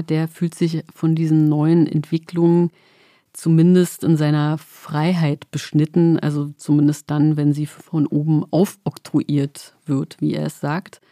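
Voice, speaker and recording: A woman's voice: deep voice, level tone, unhurried, mellow, no echo, clean studio sound. A woman co-hosting a show, asking questions in a conversational, lightly hesitant way. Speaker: podcast co-host.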